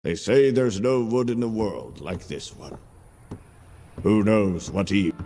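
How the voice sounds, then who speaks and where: A patient storyteller narrates a knightly game tale with lively intonation and an unhurried pace. The delivery is dramatic and measured, in a long reverb. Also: male voice, lowish voice. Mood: measured, nostalgic. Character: patient male storyteller recounting a knight's fairytale adventure. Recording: long reverb, music underneath.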